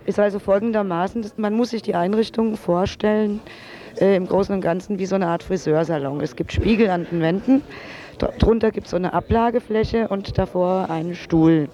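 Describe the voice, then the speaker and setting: A woman's voice: medium pitch, normal speed, mellow. A woman guest in a radio interview, explaining step by step in informal everyday speech. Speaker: drug-aid worker guest.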